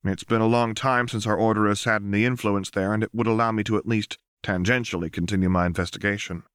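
A man's voice: fairly low pitch, steady tone, fairly bright tone, smooth, dry recording, studio quality, lightly acted. A man voicing a courteous, determined female secret operative with formal diction in a story reading. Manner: polite, formal, measured diction.

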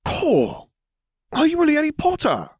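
A man's voice: very high-pitched, wildly swooping pitch, normal speed, pitched above the performer's own voice, heavily acted. A man doing an eager, awestruck young boy. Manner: eager, stumbling questions. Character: eager eleven-year-old boy, excitable and awestruck.

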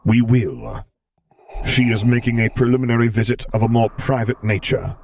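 A man voicing an imposing, terse dark lord with a grave, formal, measured delivery. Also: mid-range voice, lively intonation, a little hiss or hum, pitched above the performer's own voice, natural voice.

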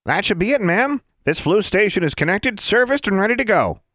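A man doing a squeaky little wizard mechanic. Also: very animated, fairly quick, a bit bright, no echo, clean studio sound. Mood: chipper. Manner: chirpy, folksy. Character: tiny cheerful male wizard mechanic.

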